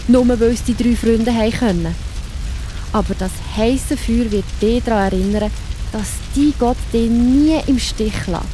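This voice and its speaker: A woman's voice: on the high side, dark tone, a little hiss or hum, low-quality recording. A woman with a Swiss accent narrating a scripted Bible story for children.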